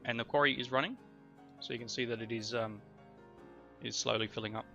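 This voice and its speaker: Gravelly man with a cold, gaming commentary. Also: medium pitch, fairly even intonation, brisk, sharp tone. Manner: rambling, self-correcting, chatty. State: coming down with a cold. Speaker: let's play host. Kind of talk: casual gameplay commentary. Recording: slightly dull lo-fi recording. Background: faint background music.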